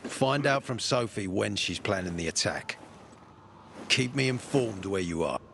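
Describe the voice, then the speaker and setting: A stern militia officer in a video game, speaking with a very deep, low voice. He delivers cold, quietly menacing warnings to an ally. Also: animated, a little slow, gravelly, a little hiss or hum, heavily acted. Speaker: Peacekeeper officer.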